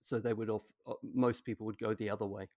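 A man from New Zealand with a New Zealand accent, an English language teacher explaining things in a relaxed way with frequent ums. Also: fairly low pitch, fast, no echo, studio quality. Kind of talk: casual conversation.

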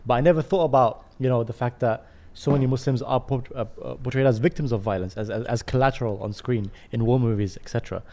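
Man, rapid speech, wordy, halting repetitions, casual podcast interviewer. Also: medium pitch, steady tone, darkish tone. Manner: wordy, halting with repeated words. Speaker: podcast co-host.

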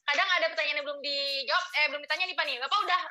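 A woman's voice: high-pitched, fairly even intonation, very fast, sharp tone. A young woman co-hosting a student podcast, cheerful and polite, thanking her guest at length. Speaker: podcast co-host.

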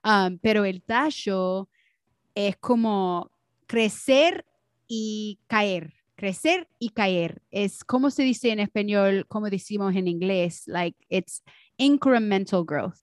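Slow-talking woman co-hosting a podcast. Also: medium pitch, clean tone, no echo, studio quality. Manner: relaxed, mixes in English words, laughs often. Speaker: podcast co-host. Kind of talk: casual chat.

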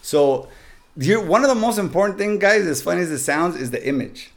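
A man's voice: high-pitched, lively intonation, fairly quick, gravelly. A man with a Mexican accent, a podcast guest giving upbeat, joking tips straight to the listeners. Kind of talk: casual podcast chat.

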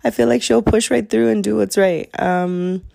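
A young woman hosting her own podcast in a sincere, chatty solo talk, her voice a little raspy today, with frequent "um"s. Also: mid-range voice, fairly even intonation, normal speed, warm tone. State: slightly raspy voice. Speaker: podcast host.

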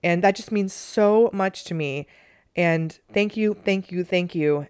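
Low, soothing woman podcast host. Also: measured pace, clean tone, no room sound, clean studio sound. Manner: gentle and earnest, with light laughs. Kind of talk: reflective solo podcast talk.

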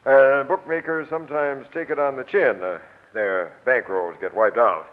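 A tinny old radio broadcast carries a man acting as a gruff police captain. He is commanding and sly, firing off sarcastic slang at a middling pitch in 1940s crime drama style. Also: moderate pace, close to an everyday voice. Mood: brusque, skeptical. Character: brusque, skeptical, sly male police captain.

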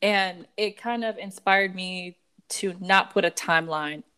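A woman in her thirties co-hosts a relaxed podcast conversation with a friend. Her talk is casual and emphatic, and she breaks into laughter often. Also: on the low side, a little slow, clean tone. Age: 30s. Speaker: podcast co-host. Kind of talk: casual chat.

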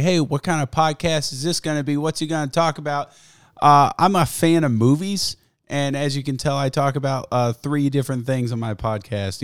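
A young man with a sick, fraying voice rambles through his podcast, cursing freely and laughing at himself. He sits close to the mic in a dead, dry room with no echo, and he talks at an everyday pitch. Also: clear, resonant tone. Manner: profane, rambling, self-mocking. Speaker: podcast host. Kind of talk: casual solo podcast chat. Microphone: studio mic, close.

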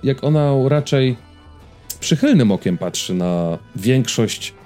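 A man's voice: mid-range voice, expressive, takes it slowly, darkish tone, faint music behind. A man in his thirties chatting casually as a podcast host, rambling and hesitant, often repeating words as he goes. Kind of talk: casual chat about personal habits and series.

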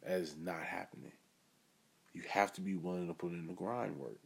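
A man's voice: low voice, moderate pace, gravelly, breathy edge. A man hosting his own podcast, giving an earnest, self-assured motivational monologue. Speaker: podcast host.

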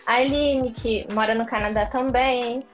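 A woman from Brazil chatting as an interview guest, animated and laughing, with many restarts. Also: a little high, measured pace, soft background music. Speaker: interview guest, English learner. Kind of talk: casual live interview chat.

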